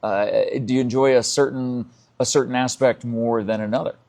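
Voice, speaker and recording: A man's voice: mid-range voice, fairly even intonation, normal speed, darkish tone. A man hosting a casual, upbeat live interview as a radio host.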